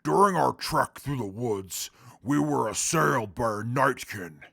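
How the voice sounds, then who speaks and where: A man's voice: medium pitch, animated, unhurried, vocal fry, pushed and bright, no room sound, studio quality, full character voice. A man playing a haughty, humorless warrior in a post-apocalyptic audio drama, speaking with stiff, formal diction. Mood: grave.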